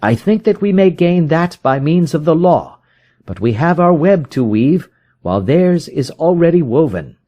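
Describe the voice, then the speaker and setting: A man performs a commanding detective from a Victorian mystery story. His voice leaps high and swings widely, driven by urgency. He gives decisive, emphatic orders in formal diction, with no patience for delay. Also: very animated, normal speed, dark tone, lo-fi sound. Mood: urgent, commanding. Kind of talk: audiobook character voice in a detective story.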